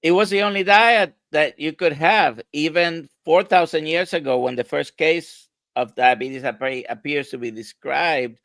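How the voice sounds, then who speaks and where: A man's voice: fairly high pitch, normal speed, gravelly, hardly any pauses, fairly bright tone, dry recording. A male doctor from Puerto Rico, explaining diet to an interviewer in an emphatic, conversational way with rhetorical questions.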